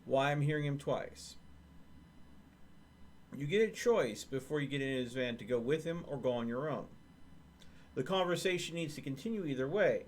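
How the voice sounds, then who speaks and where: A man streaming gameplay and explaining a game glitch in a casual, relaxed way. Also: medium pitch, normal speed, long pauses, slight background noise.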